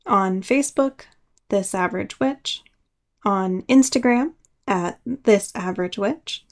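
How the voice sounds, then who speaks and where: A woman's voice: mid-range voice, slow pace, no room sound, clean studio sound. A woman hosting a podcast, telling personal stories from a script with casual, conversational asides. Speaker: podcast host.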